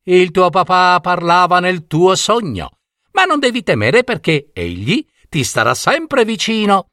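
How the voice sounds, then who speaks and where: A man's voice: high-pitched, wildly swooping pitch, unhurried, pushed and bright, no room sound, clean studio sound, voice pushed up, full character voice. A man voicing a wise, riddling female fox who keeps the door of a burrow and speaks in a teasing singsong. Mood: kind.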